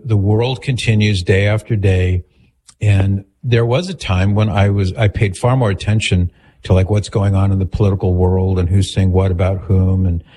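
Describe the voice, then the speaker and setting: A male radio host with a low, husky voice. He speaks calmly and attentively, summing up what the caller means before giving his own view at length. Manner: calm, attentive, restates the caller's points. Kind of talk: call-in radio talk.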